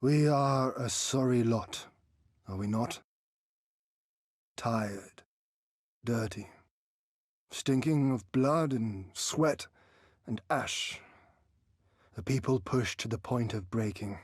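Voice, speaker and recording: Young noble leader from a video game, solemn, measured and slow, very dry recording. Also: male voice, mid-range voice, husky, no room sound. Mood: somber. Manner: formal, measured, solemn oratory. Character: earnest young lord rallying his war-weary people. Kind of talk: cinematic fantasy game voice acting.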